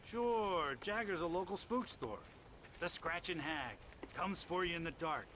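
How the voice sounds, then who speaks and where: An actor playing a folksy, God-fearing American trailer park manager in a video game, plain-spoken and neighborly. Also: male voice, sits high, lively intonation, a little slow, pushed and bright, slight background noise, heavily acted.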